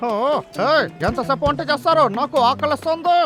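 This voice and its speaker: A boastful, greedy cartoon hunter, with a high, sharp, bright voice. Soft music sits behind him. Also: male voice, fairly quick. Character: greedy scheming male hunter, a bear-chasing villain. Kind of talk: Telugu cartoon dub.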